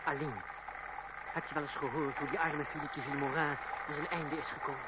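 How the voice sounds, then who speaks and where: Actor as a high-pitched, proud young gentleman. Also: steady tone, a little fast, lightly acted. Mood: accusing. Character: proud, idealistic young gentleman turned stage player. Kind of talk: old-fashioned Dutch radio drama acting. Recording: noisy, muffled old radio play recording.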